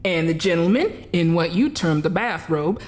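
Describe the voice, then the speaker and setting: An actress playing a calm, sinister coroner in a radio drama, speaking in a measured, formal way. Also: female voice, on the low side, lightly acted. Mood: cold. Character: calm, sinister female coroner who claims souls.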